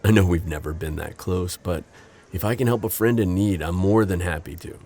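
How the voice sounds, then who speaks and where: A man playing a gentle, protective civilian friend in an audio drama, earnest and hesitant with a slight stammer. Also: deep voice, fairly quick. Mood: caring, earnest. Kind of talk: naturalistic audio roleplay drama acting.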